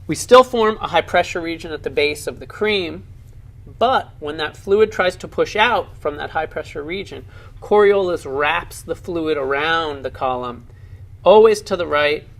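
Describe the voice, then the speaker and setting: A man with a slightly high voice and a noticeably creaky, gravelly edge narrates an educational science film. He explains lab demonstrations like a keen teacher, leaning on key words with clear enthusiasm. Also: expressive, unhurried, fairly bright tone.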